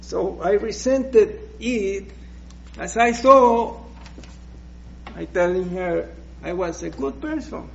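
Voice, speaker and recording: Slow, hesitant Puerto Rican preacher. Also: male voice, high voice, creaky, dull audio, a little hiss or hum. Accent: Puerto Rican Spanish. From Puerto Rico. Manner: slow, hesitant, with many restarts. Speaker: guest preacher. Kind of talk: personal testimony in church.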